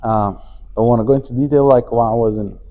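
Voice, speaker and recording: A man's voice: mid-range voice, steady tone, brisk, warm tone, dull audio. A man from Afghanistan, a company CEO, talking as a guest in a casual interview, with many fillers and restarts. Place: conference venue.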